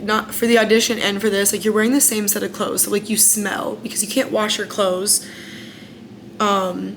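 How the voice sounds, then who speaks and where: A woman's voice: mid-range voice, vocal fry, background noise. A young American woman candidly recounting her time as a singing contestant in a casual vlog, chatty, with lots of fillers. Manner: candid and chatty, many "like" fillers, frank opinions.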